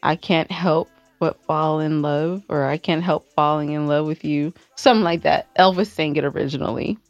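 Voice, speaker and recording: A woman's voice: sits low, moderate pace, no echo, faint music behind. Playful woman podcaster, dry muffled sound. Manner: playful, teasing. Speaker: podcast co-host. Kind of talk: casual, joking co-host banter.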